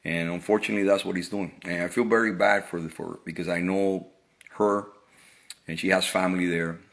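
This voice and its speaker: Man, middle-aged, very low voice, earnest, casual monologue, repeats himself. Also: fairly even intonation, a little fast, vocal fry, fairly bright tone. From Cuba. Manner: earnest, repeats words and restarts phrases. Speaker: vlogger.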